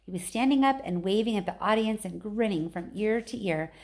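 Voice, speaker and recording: A woman's voice: mid-range voice, expressive, a little fast, a little mellow. A woman reading a children's book aloud like a teacher, expressively, with casual chatty asides.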